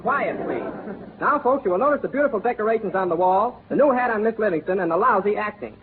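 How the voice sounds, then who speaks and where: A man playing a brisk, wisecracking studio tour guide in a 1940s radio comedy, reciting a sing-song spiel. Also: sits high, animated, very noisy. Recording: tinny old radio broadcast with laughing audience.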